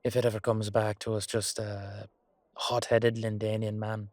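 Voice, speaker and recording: Guy acting as gruff irish knight, lowish voice, dry sound, hot-headed but loyal, swears a bit, fantasy audio rp. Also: little pitch movement, moderate pace, a little mellow, no echo, lightly acted. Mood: wry, cautious. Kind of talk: scripted roleplay audio drama acting.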